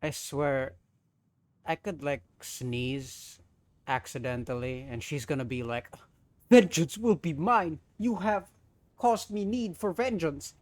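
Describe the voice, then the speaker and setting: A woman's voice: sits low, slow, a little mellow, no echo. A woman streamer making sarcastic, joking asides over game dialogue. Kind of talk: casual game commentary.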